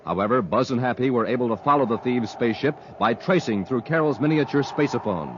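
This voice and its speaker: Casting: male American old-time radio announcer, punchy, highly varied mid-pitched voice, faint hiss. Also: expressive, fairly quick. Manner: emphatic, punchy. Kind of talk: 1950s radio announcing, ad pitch and dramatic narration. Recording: muffled, hissy old 1950s radio recording.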